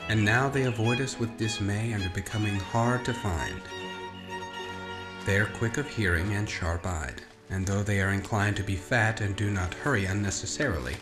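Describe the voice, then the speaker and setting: A man narrating a storybook in a measured, expressive, scripted audiobook style. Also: fairly low pitch. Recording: roomy echo under orchestral music. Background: orchestral music.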